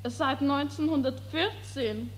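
Casting: forlorn teenage street girl, very high voice, meek and halting, vintage radio drama. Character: downtrodden seventeen-year-old street girl. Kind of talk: mid-century German-language radio drama acting.

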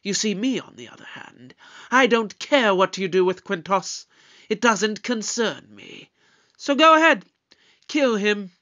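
Resolute alien time-traveler played by a man for audio drama: high-pitched, grandly moralizing, slightly dull recording. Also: animated, measured pace, bright, forceful tone, full character voice. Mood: defiant, stern.

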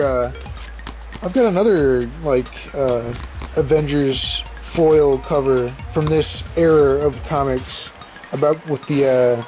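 A man's voice: a little high, darkish tone, poor audio. A young man casually rambling and swearing as he opens old comic books at home, talking into a handheld camera. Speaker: podcast host opening comics. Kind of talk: casual unboxing commentary. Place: indoors at home, filming comics on a bed.

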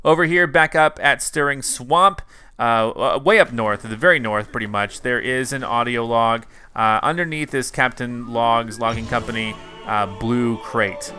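A man's voice: medium pitch, a little slow, sharp tone, soft background music. A man hosting a gaming channel, narrating a video game guide in an upbeat, conversational way straight to viewers.

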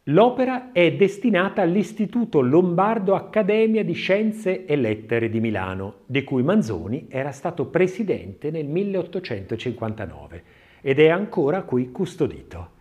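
A man narrating a scripted audiobook in an expressive, literary, dramatic reading style. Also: on the high side, normal speed.

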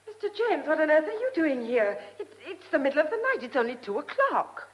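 A woman's voice: high voice, sing-song, fairly quick, lo-fi sound. An actress playing an anxious, kind, plain-spoken young woman in a radio drama, earnest and pleading. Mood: alarmed, pleading.

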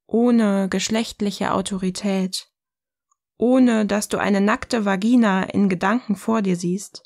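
An actress plays a self-assured, provocative woman in a philosophical audio drama. Her mid-pitched voice is poised and deliberate, delivering each line as a declaration. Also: fairly even intonation, creaky, a little mellow. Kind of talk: scripted audio-novel dialogue.

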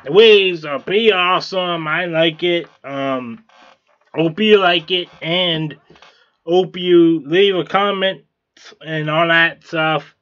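A man's voice: a little high, takes it slowly, a bit bright, lo-fi sound. A man hosting a vlog, narrating how he hand-draws a t-shirt design in a plain, repetitive way with fillers and restarts. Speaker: t-shirt artist, channel host. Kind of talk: narrated drawing tutorial.

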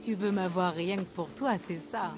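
Une actrice de doublage jouant une jeune cheffe rebelle audacieuse et passionnée dans un jeu vidéo. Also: female voice, lowish voice, normal speed, music in the background, natural voice. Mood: flirtatious. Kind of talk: dubbed video game acting.

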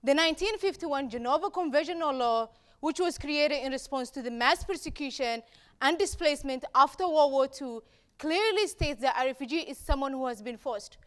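A young woman from Somalia giving a prepared speech on a dark auditorium stage, repeating key phrases with deliberate pauses. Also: high voice. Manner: rhetorical repetition, deliberate pauses. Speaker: speaker at a campus talk event.

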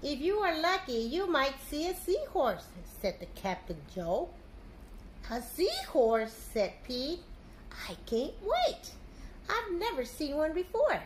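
High-voiced middle-aged woman reading to children. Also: slow, slight background noise. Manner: animated, simple phrasing addressed to children. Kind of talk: expressive picture-book read-aloud for young children. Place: living room at home, indoors.

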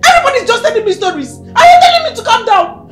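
A woman's voice: on the high side, big pitch swings, moderate pace, faint music behind. An actress playing a frantic mother pleading for her missing daughter, crying out to God. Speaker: worried mother. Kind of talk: film drama acting.

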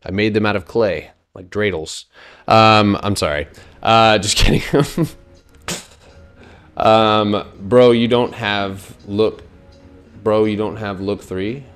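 A man of thirty with a somewhat low voice walks through stock charts on a live stream. He talks casually and loosely, full of fillers, breaking off to joke with his viewers. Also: fairly even intonation, slow, fairly bright tone, smooth, soft background music. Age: 30s. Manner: loose, joking with chat, many fillers.

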